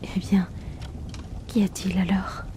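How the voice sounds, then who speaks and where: Woman performing a haughty rich hypnotist, whispered, very slow, close mic. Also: mid-range voice, flat delivery. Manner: soft teasing whisper, unhurried. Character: aloof wealthy woman hypnotist, teasing. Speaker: ASMR roleplay performer. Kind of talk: whispered ASMR hypnosis roleplay.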